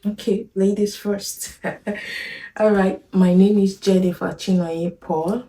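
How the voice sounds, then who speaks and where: A woman's voice: medium pitch, steady tone, slow, mellow. A woman in her thirties with a Nigerian accent, chatting casually on a family vlog and laughing often. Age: 30s. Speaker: vlogger. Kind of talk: casual chat. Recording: echoey room from far off.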